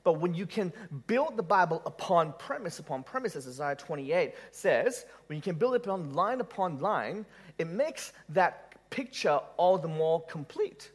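Lively-voiced Malaysian-raised man preaching. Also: on the high side, normal speed, breathy edge. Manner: conversational, joking, asks the audience questions. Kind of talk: sermon with audience interaction.

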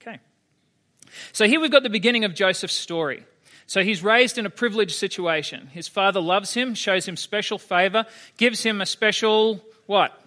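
An Australian man in his thirties preaches in a loose, conversational way, often putting questions to the congregation. His voice sits high for a man and sounds bright and forward. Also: normal speed. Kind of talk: sermon.

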